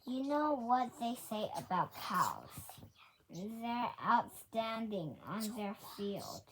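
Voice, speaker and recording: A woman voicing a wisecracking male duck in a children's storybook, telling jokes in a deliberate manner. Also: a little high, big pitch swings, slight background noise, low-quality recording. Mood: joking.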